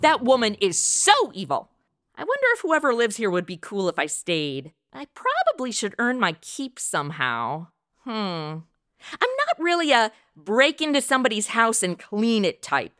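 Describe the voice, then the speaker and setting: A woman's voice: fairly high pitch, huge pitch swings, a little slow, bright, forceful tone, heavily acted. A woman playing a sassy, entitled modern princess in a comic radio play, sarcastic, slangy and exasperated. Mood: wry, musing.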